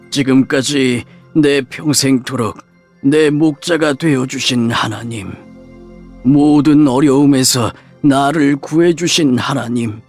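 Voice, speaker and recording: Slow, solemn dying old patriarch. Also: male voice, medium pitch. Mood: reverent, grateful. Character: aged dying patriarch, solemn father blessing his sons. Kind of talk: dramatized scripture audiobook acting.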